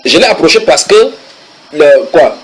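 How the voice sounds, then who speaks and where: A man preaching a sermon to a congregation, reading English passages aloud and translating them with lively asides to his listeners. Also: fairly high pitch, measured pace. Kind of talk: sermon teaching.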